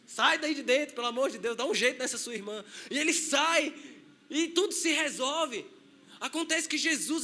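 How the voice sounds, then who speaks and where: A man's voice: very high voice, sharp tone, a little hiss or hum. A man with a Brazilian Portuguese accent, a pastor preaching a sermon in a conversational way, acting out quotes.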